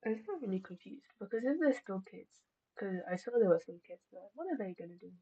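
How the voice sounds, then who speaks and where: A woman's voice: mid-range voice, lively intonation, brisk, mellow, husky, dull audio. A woman streaming a game, chatting casually as she reacts to the story.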